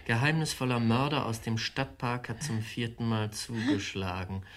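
An actor playing a scheming young nephew in a German radio crime comedy, polite, soothing and falsely solicitous. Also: male voice, medium pitch, steady tone, normal speed, a bit bright, lightly acted. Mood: feigned innocence. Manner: polite, soothing, smooth-tongued.

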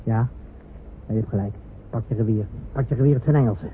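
An actor playing a shifty, bumbling stray soldier who stammers and repeats himself in a radio play. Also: male voice, fairly low pitch, fast, natural voice. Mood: wary. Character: shifty, bumbling stray soldier, evasive and easily won over. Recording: noisy, phone-like old radio recording.

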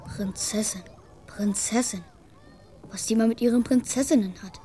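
A woman's voice: a little high, normal speed, dark tone, slight background noise, natural voice. A woman playing a sulky, exasperated young boy's inner voice in a German children's radio drama. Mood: grumbling.

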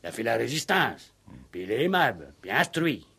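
An actor playing a simple-minded farmhand, a blunt, grumbling village gossip who talks haltingly in a radio play. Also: male voice, mid-range voice, big pitch swings, creaky, sharp tone, husky, heavily acted. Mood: opinionated. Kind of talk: 1960s French radio play acting.

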